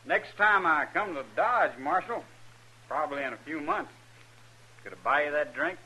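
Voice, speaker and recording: An actor playing a humble, remorseful young man of the frontier in an old-time radio western, with simple, polite, hesitant speech and a husky voice. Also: fairly high pitch, moderate pace, pushed and bright, a little hiss or hum, low-quality recording. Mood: grateful, hopeful.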